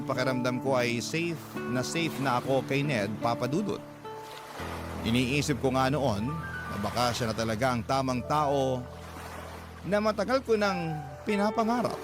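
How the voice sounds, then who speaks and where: A man's voice: medium pitch, close to an everyday voice. A man with a Filipino accent playing a tender-hearted letter sender, narrating a love story in a sentimental, confiding radio-drama style. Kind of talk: scripted first-person story narration.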